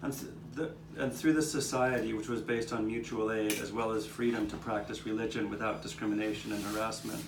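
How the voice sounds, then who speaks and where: A man's voice: lowish voice, steady tone, fairly quick, hardly any pauses, lightly acted. Guy acting as earnest 1800s townsman telling neighborhood history live, far from mic, noisy recording. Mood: earnest recollection. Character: 19th-century Philadelphia man recalling his neighbors' struggles. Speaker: narrator of a puppet show. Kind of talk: scripted first-person historical narration, storytelling. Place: outdoor courtyard, live performance. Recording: roomy, distant microphone, noticeable background noise.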